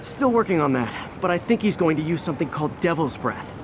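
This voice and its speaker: An actor playing a friendly, earnest young American superhero in a video game, talking in a casual, slightly awkward way. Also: male voice, a little high, big pitch swings, a little fast, background noise. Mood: earnest, urgent. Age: young adult. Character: earnest, friendly young male superhero juggling everyday life.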